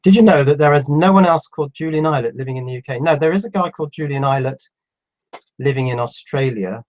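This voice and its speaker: A man in his fifties with a cold, reading out viewer questions on a live stream and answering them in a relaxed, halting way. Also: medium pitch. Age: 50s. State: has a cold. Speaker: electronics YouTuber answering live chat. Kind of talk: casual live stream chat.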